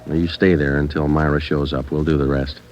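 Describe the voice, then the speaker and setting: A man's voice: rumbling low, level tone, a little fast, darkish tone. An actor playing a terse, businesslike police sergeant narrating his case in a mid-century radio crime drama, clipped and matter-of-fact. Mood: calm, firm. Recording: dull old radio broadcast with music.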